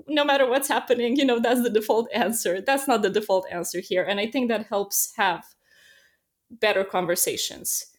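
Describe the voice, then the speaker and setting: A woman from Serbia with a Serbian accent, chatting casually and telling lively stories, acting out quotes and laughing often. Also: mid-range voice. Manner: animated storyteller, laughs often, acts out quotes. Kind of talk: casual conversational chat. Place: lives in a small Dutch town.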